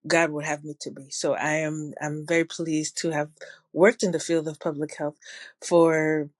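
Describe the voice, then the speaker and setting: A woman guest in her field of public health, answering interview questions in a measured, thoughtful way with a slight Ghanaian-tinged accent. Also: low voice, little pitch movement, no echo, clean studio sound. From New Jersey, family from Ghana. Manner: measured and thoughtful, with occasional restarts.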